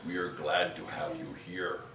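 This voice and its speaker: A man voicing gentle, welcoming forest trolls in a bedtime story, speaking low, soft and slow. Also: steady tone, a crowd in the background. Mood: friendly. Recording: roomy, distant, faint background hum.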